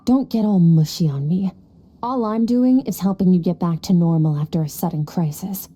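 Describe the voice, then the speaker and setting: A woman's voice: on the low side, moderate pace, dark tone, lightly acted. A woman playing a cold, aloof and powerful young female warrior in a video game, curt, detached and condescending. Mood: brusque, businesslike.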